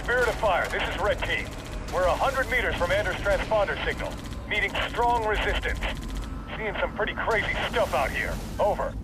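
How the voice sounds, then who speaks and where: An actor playing an urgent male soldier in a video game, making brisk, terse military radio reports. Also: sits high, expressive. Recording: noisy battlefield with gunfire, vehicles.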